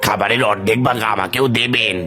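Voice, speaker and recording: A man's voice: on the low side, steady tone, brisk, lightly acted. A man voicing a fawning, hungry animal sidekick in a children's cartoon. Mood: hungry, wheedling. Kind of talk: children's fairy-tale cartoon acting. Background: music.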